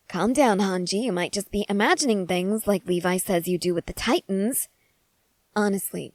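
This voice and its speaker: A woman playing an excitable, curious scientist in a scripted ASMR roleplay, speaking in eager, wordy, formal and apologetic phrases. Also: mid-range voice, no echo, natural voice. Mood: astonished.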